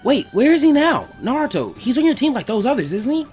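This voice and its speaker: A man's voice: extremely high pitch, big pitch swings, brisk, a little mellow, faint music behind, pitched above the performer's own voice. A man voicing a timid, curious young village boy with plaintive questions in a story reading. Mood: anxious, curious. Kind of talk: dramatic fan fiction character reading.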